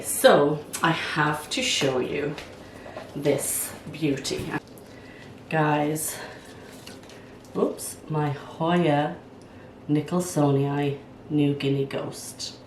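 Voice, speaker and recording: An older woman with a hoarse, croaky voice from a cold, chatting casually as a plant vlog host at home while she works, thinking aloud. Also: sits low, a little hiss or hum. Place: at home, indoors. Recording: roomy sound from a distance.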